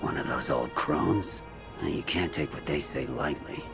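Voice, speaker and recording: A man playing a roguish, wry middle-aged schemer in a video game, with gravelly voice and folksy, sardonic slang. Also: rumbling low, animated, unhurried, exaggerated voice. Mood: sly, musing. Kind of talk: video game cutscene acting. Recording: background music under voice.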